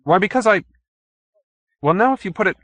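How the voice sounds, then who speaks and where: A man's voice: high-pitched, expressive, a little fast, a bit bright, no room sound, pitched above the performer's own voice. A man voicing a brash, cynical newspaperman who talks in slangy, indignant wisecracks in an audiobook story. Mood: befuddled.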